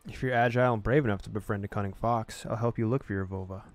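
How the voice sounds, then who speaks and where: A man's voice: fairly low pitch, fairly even intonation, fast. A man hosting a gaming video, reading on-screen story text aloud in a dramatic way with casual reactions.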